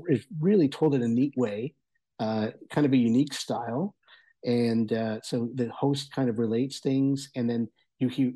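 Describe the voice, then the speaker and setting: A man's voice: mid-range voice, dark tone, slightly rough, poor audio. A man giving an enthusiastic, rambling conversational review as a guest on a talk show. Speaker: guest reviewer of audio entertainment.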